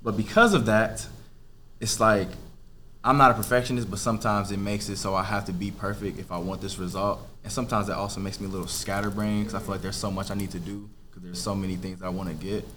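A man's voice: on the low side, steady tone, fast, bright, forceful tone, a little hiss or hum. A 24-year-old man on a podcast panel, speaking earnestly and reflectively. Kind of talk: casual roundtable chat.

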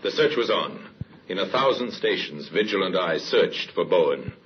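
A man acting as the solemn narrator of an old radio crime drama, grave and measured in his storytelling. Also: low-pitched, lively intonation, music in the background. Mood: grave, suspenseful. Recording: muffled old radio recording with hiss.

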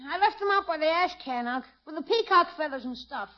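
A woman's voice: sits high, lively intonation, fairly quick. A woman playing an eager, wisecracking young nephew in a 1950s radio comedy, talking in slangy exclamations. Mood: cheeky. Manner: slangy, exclamatory kid talk. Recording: dull old radio recording.

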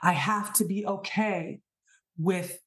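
A woman's voice: medium pitch, steady tone, slow pace, a bit bright. A woman in her thirties hosting a podcast, giving an earnest, emphatic spiritual talk full of rhetorical questions. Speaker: podcast host. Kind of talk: inspirational spiritual monologue.

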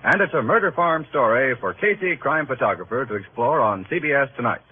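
A man's voice: medium pitch, expressive, brisk. A man working as a network radio announcer, reading scripted promos in a vintage 1940s style. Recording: tinny old radio broadcast, faint noise.

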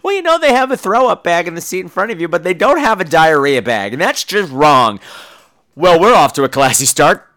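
Animated, high-voiced campy male podcast host. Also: fairly quick. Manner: campy, theatrical, emphatic. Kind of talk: casual comedic podcast monologue.

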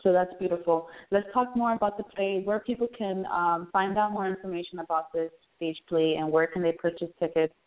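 A woman hosting a casual interview, speaking in a halting, conversational way. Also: fairly low pitch, fairly even intonation. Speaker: interview host. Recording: tinny phone-like narrow band.

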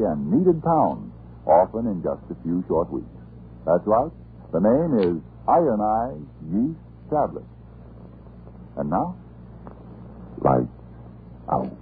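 Deep-voiced man, dramatic radio announcer. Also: big pitch swings, takes it slowly, pauses often, a little hiss or hum. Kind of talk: 1930s radio announcing, scripted. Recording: muffled 1930s radio recording with music.

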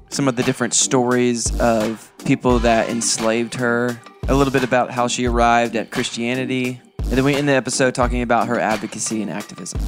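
A man hosts a conversational podcast, talking in a mid-pitched voice. Music plays clearly behind him as he speaks in an informal, explanatory style. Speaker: podcast host. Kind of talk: casual educational podcast talk.

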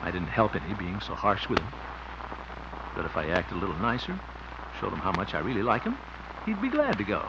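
A man's voice: sits low, sing-song, a little fast, pauses often. An actor playing a fussy, exasperated grown-up man in the exclamatory style of 1950s radio comedy. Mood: rueful. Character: fussy, blustering grown-up man minding a small boy. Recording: muffled, noisy old radio recording.

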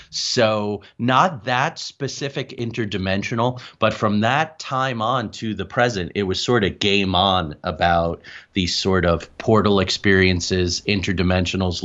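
A man's voice: sits low, fairly bright tone, highs cut off. A man speaking as an interview guest, recounting his own experiences in conversation. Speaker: interview guest, paranormal researcher.

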